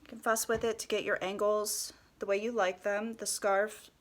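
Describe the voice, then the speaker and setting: A woman with a stuffy nose from a cold, calmly talking through a step-by-step tutorial and often dropping to a soft whisper. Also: mid-range voice. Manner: calm, unhurried, often drops to a soft whisper. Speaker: head wrap tutorial host.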